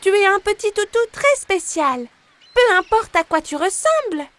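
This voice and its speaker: A woman's voice: very high voice, big pitch swings, normal speed, a bit bright, full character voice. A woman voicing a tiny, sweet female pet dog in a French children's cartoon, gushing and childlike.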